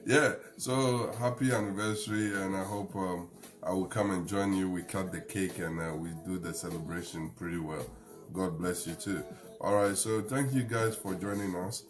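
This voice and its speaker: Deep-voiced Ghanaian man hosting a live show. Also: moderate pace, over music. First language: a Ghanaian language. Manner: rambling, earnest, laughs between points. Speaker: host.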